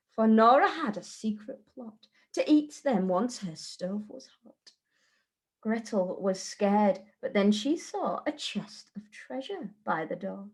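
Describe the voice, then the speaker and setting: A woman reading a rhyming children's story in a deliberate, sing-song way, as a teacher would at story time. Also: medium pitch.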